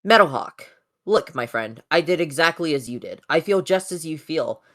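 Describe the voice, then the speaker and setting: Robot rebel spokesman played by an amateur, earnest, formal, persuasive, mid pitch, comic dub. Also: lively intonation, moderate pace, fairly bright tone, natural voice. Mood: earnest pleading.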